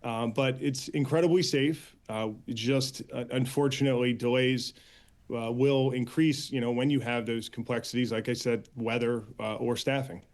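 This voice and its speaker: An American man answering as an interview guest and union spokesman, earnest and halting, with frequent filler pauses. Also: mid-range voice, fairly even intonation, darkish tone. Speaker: air traffic controllers' union official, interview guest.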